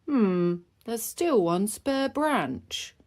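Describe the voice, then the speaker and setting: Storybook dad pig , acted by a woman: jolly, hearty, a touch timid, animated delivery. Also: mid-range voice, very slow, pitched above the performer's own voice. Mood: thoughtful. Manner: hearty exclamations. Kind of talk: children's storybook character reading.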